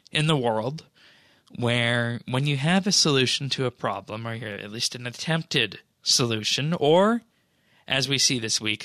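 A man co-hosting a podcast, chatting casually about game news in a measured, hesitant way. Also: medium pitch, bright, forceful tone, no echo, studio quality. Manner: measured, hesitant, drawn-out phrasing. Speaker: podcast co-host. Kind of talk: casual gaming news chat.

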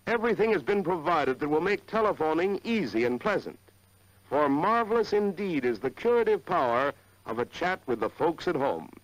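A man's voice: fairly high pitch, very animated, moderate pace, dry recording, poor audio. A man with an American accent narrating a 1940s educational film in an earnest, polished, formal way on a vintage soundtrack.

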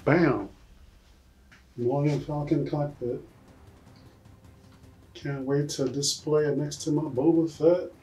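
A man's voice: on the high side, fairly even intonation, takes it slowly, pauses often, warm tone, breathy edge. A man in his forties giving an enthusiastic, casual unboxing commentary in a collection room, exclaiming over details with mild swearing. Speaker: collectibles vlogger. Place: indoor collection room with display shelves.

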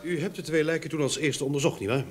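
An actor playing a jovial, teasing private detective in a radio play, with hesitant, repetitive interjections. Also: male voice, a little high, fairly even intonation, fast talker, over music, close to an everyday voice. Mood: businesslike. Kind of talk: Dutch-language radio play acting. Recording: faintly noisy old radio drama recording.

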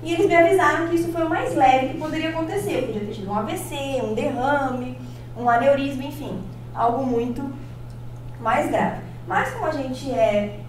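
A Brazilian woman in her thirties with a Brazilian Portuguese accent, giving a personal-story motivational talk on stage, expressive and often checking in with the audience. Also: high voice, recorded from a distance, a little hiss or hum. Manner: expressive, addresses the audience with frequent tag questions. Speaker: motivational speaker. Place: large auditorium on stage. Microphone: handheld mic, filmed by far camera. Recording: echoey hall, from afar.

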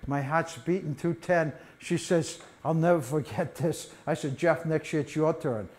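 An older man giving a beekeeping talk to a library audience in a meeting room, telling animated stories and acting out quotes as he demonstrates hive gear. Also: a little high, moderate pace. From United States. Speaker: beekeeping presenter. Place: library meeting room, mid-sized, indoors.

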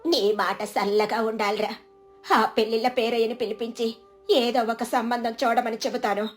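A woman voicing a worried mother of grown sons, plaintive and exasperated, in an animated moral story. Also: on the high side, normal speed, soft background music, lightly acted. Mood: worried, pleading.

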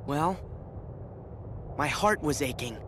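A male actor playing an openhearted, stubborn teenage boy hero in a video game, plainspoken and emotional, with halting phrases. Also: fairly high pitch, expressive, noisy background. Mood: earnest, pained.

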